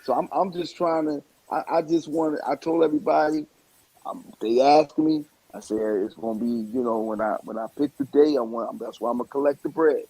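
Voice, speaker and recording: A man chatting casually in an interview, rambling in an animated way and repeating words for emphasis. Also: medium pitch, a little fast, slightly rough, no echo, poor audio. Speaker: stand-up comedian and stage play actor.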